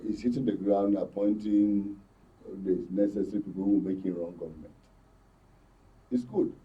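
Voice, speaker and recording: A slightly muffled recording, picked up away from the mic, carries a Nigerian man's voice. He comments on current affairs as a panel guest. His delivery is emphatic and halting, and he repeats words to make his point. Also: fairly low pitch, lively intonation. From Benue, Nigeria. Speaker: studio guest, journalist commentator. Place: broadcast studio panel. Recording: distant mic, dull muffled audio.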